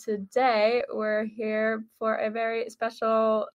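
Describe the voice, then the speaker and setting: A woman's voice: a little high, completely flat delivery, slow pace, muffled recording. A woman in her twenties hosting a casual fan chat podcast. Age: 20s. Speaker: podcast host.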